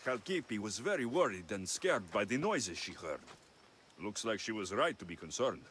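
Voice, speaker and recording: An actor playing a plain-spoken heroic mercenary in a video game, with a direct, questioning manner. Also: male voice, lowish voice, very animated, moderate pace, a bit bright, slight background noise. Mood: calm, wry. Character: heroic Greek mercenary, plain-spoken, helpful, quick to exasperation. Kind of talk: video game cutscene acting.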